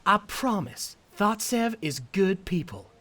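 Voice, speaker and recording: Woman acting a nervy, emphatic schemer, swooping pitch. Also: big pitch swings, fairly bright tone, full character voice. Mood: sarcastic, insistent. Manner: emphatic, halting. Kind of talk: animated comic fandub acting.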